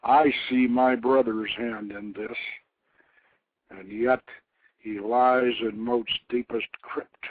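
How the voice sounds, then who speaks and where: A man's voice: mid-range voice, steady tone, takes it slowly, no room sound. A man voicing a fierce, bitter warrior goddess in a haughty, brooding storybook reading. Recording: tinny phone-like internet radio audio.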